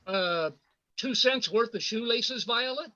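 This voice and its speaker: A performer playing a bold, excitable twelve-year-old boy in a radio play, calling out in drawn-out exclamations. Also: medium pitch. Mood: polite. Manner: loud, exclaiming, drawn-out calls. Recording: dull, lo-fi video call audio.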